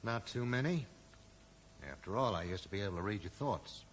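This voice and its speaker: Man acting a sardonic male investigator, lowish animated voice, accusing, dry wit, radio play. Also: fairly quick, close to an everyday voice. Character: sardonic, probing male amateur sleuth confronting an old friend. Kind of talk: 1980s radio drama acting. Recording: echoey, noisy old radio broadcast recording.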